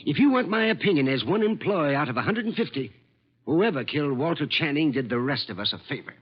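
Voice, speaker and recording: A man's voice: on the high side, lively intonation, quick pace, background noise, close to an everyday voice. A man playing a resentful, outspoken office employee in an old-time radio drama, bitter and emphatic. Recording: dull vintage radio recording, music.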